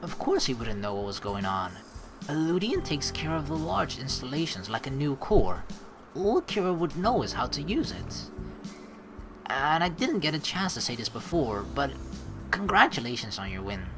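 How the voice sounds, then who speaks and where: Animated male let's play host. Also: medium pitch, moderate pace. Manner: casual, slangy. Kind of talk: game commentary, reading story text aloud. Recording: game music underneath. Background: game music.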